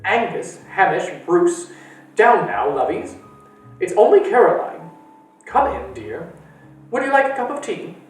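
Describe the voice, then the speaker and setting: A man voicing a fussy elderly woman, a retired stage actress, in a high, fluttery voice. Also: very animated, unhurried, pauses often, very echoey, distant mic, faint music behind, poor audio. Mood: doting.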